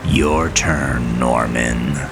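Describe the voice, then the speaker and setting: A man voicing a cold, vengeful undead brother with a gravelly voice and a slow, taunting menace. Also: a bass voice, over music, voice pushed down, exaggerated voice.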